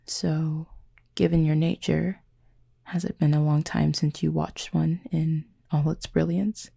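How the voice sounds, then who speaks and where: A woman playing a gentle, formal, wounded cupid in an intimate audio roleplay, speaking softly in measured, breathy phrases. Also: sits low, level tone, heavily acted. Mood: curious, wistful. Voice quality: husky, breathy, creaky. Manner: measured, formal, soft-spoken with breathy pauses.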